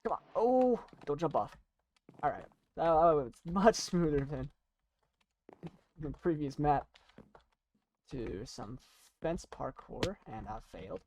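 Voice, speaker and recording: A young adult gamer talks casually over gameplay at a medium pitch. The delivery rambles and halts, with self-deprecating asides, and there is noise behind the voice. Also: very animated, slow pace, pauses often, a little mellow. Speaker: YouTuber playing and commentating on a game. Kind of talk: casual gaming commentary and chat.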